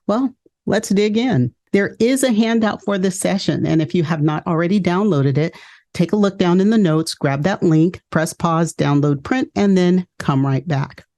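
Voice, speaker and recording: A woman from California hosting an education webinar, speaking in a clear, measured, list-like way. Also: low-pitched, mellow. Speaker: education consultant and podcast host. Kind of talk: scripted webinar presentation.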